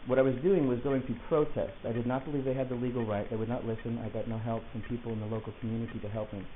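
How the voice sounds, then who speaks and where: Through a tinny, phone-like recording comes a man acting a male defendant in an audio drama. He talks quickly and argues in an insistent, pedantic way, methodical and stubborn, with faint hiss behind him. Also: mid-range voice, fairly even intonation, lightly acted. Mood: earnest. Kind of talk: argumentative dialogue in an audio play.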